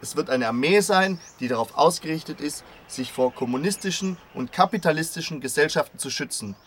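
A man playing an earnest, intellectual anarchist father who argues in measured, formal phrases in a scripted radio play. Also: a little high, animated, fairly bright tone. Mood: earnest, firm. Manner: measured, argumentative, formal wording. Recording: clear background noise and ambience.

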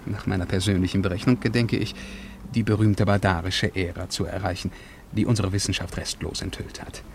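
A pompous, low-voiced scholar from the future. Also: male voice, steady tone, brisk, vocal fry, darkish tone, a little hiss or hum. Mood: boastful. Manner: florid, pompous, ceremonious. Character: slender bespectacled scholar from the far future, grandiloquent, self-important. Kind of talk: German radio play acting.

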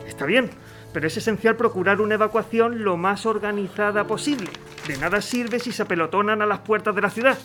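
A man's voice: high voice, fairly quick, sharp tone, soft background music. Man playing an anxious city governor. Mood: worried. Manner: worried, questioning. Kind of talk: amateur radio drama.

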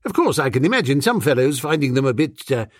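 Flustered posh young English gentleman, acted by a man. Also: medium pitch, big pitch swings, fast, dry recording, studio quality. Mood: uneasy. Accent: upper-class English. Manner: hesitant, exclamatory, old-fashioned slang. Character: flustered upper-class young English gentleman, amiable and dim.

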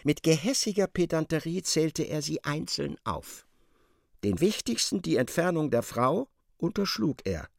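A man giving expressive literary narration in a radio drama, as a storyteller. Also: fairly high pitch, measured pace, creaky, no echo, clean studio sound, heavily acted.

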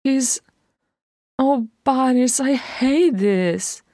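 A woman's voice: very slow, mellow, no room sound. Woman doing a blunt dwarf archer lady, grumbling, raised voice, dry sound. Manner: higher, more emphatic voice than her narration.

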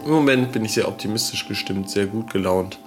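A man's voice: lowish voice, brisk, over music, natural voice. An actor in a radio drama playing a man of twenty-eight, keeping a rambling, self-deprecating audio diary in casual speech. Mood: upbeat. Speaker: diarist trying out a self-help book.

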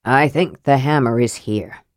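Woman doing a gruff, low-pitched old male dwarf. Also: a little slow, no room sound, studio quality. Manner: gruff, dour, snorting.

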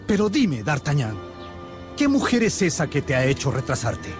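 Radio drama comrade: hearty musketeer, high voice, very animated, exclamatory, music underneath. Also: male voice, moderate pace. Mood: teasing. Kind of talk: Spanish-language radio drama acting.

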